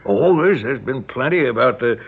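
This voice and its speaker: A man's voice: mid-range voice, lively intonation, moderate pace, vocal fry, slightly rough. Menacing folksy rural American father. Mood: taunting. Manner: drawling, chuckling, mock-friendly menace. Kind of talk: radio drama acting. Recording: dull lo-fi radio recording.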